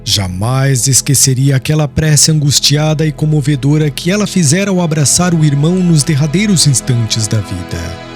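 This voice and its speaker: A man's voice: a little high, expressive, normal speed. Solemn Brazilian male narrator over music. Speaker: audiobook narrator. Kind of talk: scripted literary narration of a religious historical novel. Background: background music.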